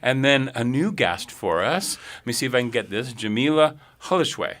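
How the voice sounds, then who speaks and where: A man's voice: medium pitch, expressive, moderate pace, fairly bright tone, a little hiss or hum. A man hosting a public radio show in a studio, chatting with his guests in a casual, friendly way with the odd filler. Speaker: radio host.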